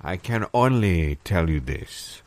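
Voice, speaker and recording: A man playing a deep-voiced, grandiose French restaurateur. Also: animated, darkish tone, no echo, exaggerated voice. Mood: exasperated, lofty. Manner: theatrical, pompous, halting and dramatic. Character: grandiose, romantic French male restaurateur scheming with business partner. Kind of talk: improvised audio comedy sketch.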